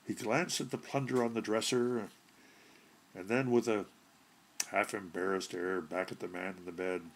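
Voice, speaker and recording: A man narrating a short story from a script with deliberate, theatrical delivery and a gravelly, husky voice. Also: lowish voice, steady tone. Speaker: audiobook narrator.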